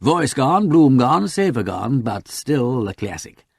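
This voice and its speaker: A man voicing a breezy, urbane aristocratic gentleman with a clipped, polite upper-class English accent in an audiobook. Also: mid-range voice, sing-song, slow pace, creaky, husky, no echo, studio quality, voice pushed up, full character voice. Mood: polite, amused.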